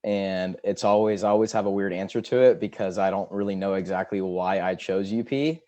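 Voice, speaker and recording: A young man from Seattle, a student introducing himself on a video call, relaxed and thinking aloud with fillers. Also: sits low, brisk, dull audio. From Seattle, USA. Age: young adult. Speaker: student scholarship recipient.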